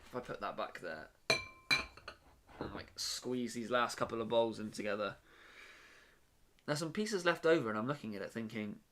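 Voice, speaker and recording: A man's voice: medium pitch, expressive, moderate pace, long pauses, noisy background. A young man chatting casually on a live stream from his bedroom while he builds, rambling with lots of fillers and restarts.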